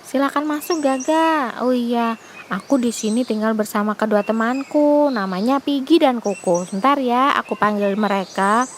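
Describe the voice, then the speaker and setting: A woman's voice: a little high, normal speed, close to an everyday voice. A woman voicing a naive, timid, welcoming little rabbit in a children's fable, on a noisy recording. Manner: hesitant, welcoming, eager.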